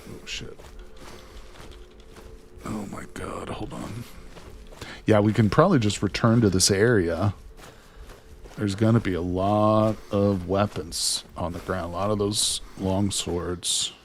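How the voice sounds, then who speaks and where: A man streaming a video game, chatting excitedly to his viewers as he plays, losing his voice near the end of a long session. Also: on the low side, takes it slowly, pauses often, slight background noise. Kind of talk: casual gameplay commentary.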